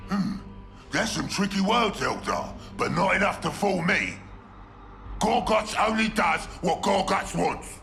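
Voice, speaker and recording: Gravelly ork warboss, high bellowing voice, wild swings in pitch, crude and cocky. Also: male voice, expressive, a little slow, soft background music, heavily acted. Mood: scornful. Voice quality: gravelly shouting, crude slang. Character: brutish loud ork warboss, cocky and boastful.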